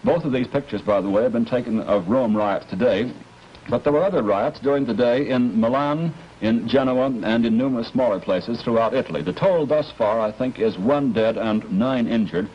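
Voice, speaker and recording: A man's voice: medium pitch, moderate pace, husky. A man giving informal news commentary with conversational asides, as if talking viewers through film footage. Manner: conversational asides while reporting. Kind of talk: informal live newsreel commentary. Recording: dull vintage broadcast with faint noise.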